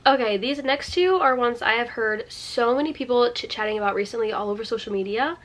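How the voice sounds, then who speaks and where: A woman's voice: a little high, brisk. A young woman with a stuffy cold, chatting to camera in a bubbly, gushing way, full of likes and ums. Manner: bubbly, gushing, filler-heavy. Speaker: book vlogger. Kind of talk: casual chat to camera. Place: indoors at home by a sunny window.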